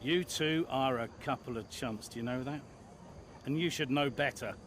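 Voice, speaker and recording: An actor playing a blunt, exasperated racing team boss in a video game cutscene, wry and questioning. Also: male voice, medium pitch, normal speed, fairly bright tone, a little hiss or hum, natural voice. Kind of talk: video game cutscene acting, naturalistic drama.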